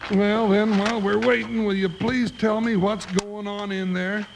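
A man's voice: high-pitched, little pitch movement, measured pace, creaky. A man playing a folksy, bumbling comic cowboy sidekick with an American drawl, in an old-time radio western. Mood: curious. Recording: noisy old radio show recording.

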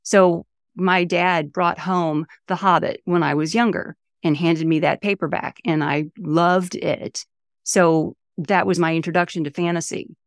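A woman's voice: on the low side, fairly even intonation, a little slow, no room sound, studio quality. A woman in her fifties from the St. Louis area, an author chatting enthusiastically as a podcast guest. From St. Louis area, USA. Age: 50s. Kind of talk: casual interview talk.

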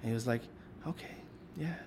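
A man's voice: medium pitch, fairly quick, vocal fry. A male interviewer with a mid-range voice talks in loose, winding sentences. He fills his questions with "like" as he works out what he means. Faint background noise sits under him. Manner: rambling, thinks aloud with many "like"s. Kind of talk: casual conversational interview.

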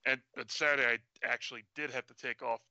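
A man's voice: medium pitch, fairly even intonation, quick pace, bright, forceful tone, dry recording, dull audio. A man of forty-one chatting casually as a co-host, in a hesitant, self-deprecating way. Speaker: show co-host. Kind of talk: casual chat.

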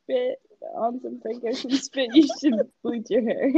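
A young woman from Ethiopia joins friends on a podcast and teases the host in easy slang. Her voice sits a little high, and the recording is slightly dull. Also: fairly even intonation, unhurried, mellow, slightly rough, dry recording. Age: young adult. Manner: teasing, slangy. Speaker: podcast guest. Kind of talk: casual chat.

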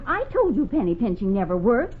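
A woman's voice: a little high, sing-song, fairly quick, phone-quality sound. An actress playing a firm, reproving middle-aged wife and mother in 1940s radio comedy style. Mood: scolding. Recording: thin, noisy 1940s radio broadcast.

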